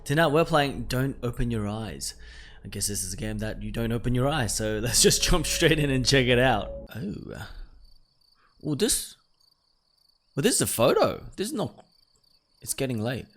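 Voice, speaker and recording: A man's voice: medium pitch, very animated, normal speed, long pauses. A man doing let's-play commentary, reading game text aloud and reacting in a jumpy, nervous way. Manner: jumpy, exclaims nervously between read-out passages. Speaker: video game streamer.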